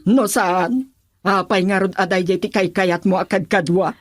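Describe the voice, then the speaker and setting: A woman's voice: mid-range voice, moderate pace, no echo, close to an everyday voice. A woman playing a stern, disapproving mother in an Ilocano radio drama, with an Ilocano accent and emphatic, scolding delivery.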